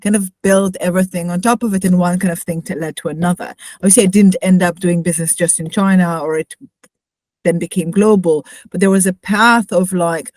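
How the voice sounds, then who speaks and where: Low-voiced woman with a mixed Israeli accent. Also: steady tone, fairly quick, darkish tone, no echo. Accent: Israeli-tinged, mixed English accent. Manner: rambling, with frequent "like" fillers. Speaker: podcast co-host. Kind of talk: casual conversation.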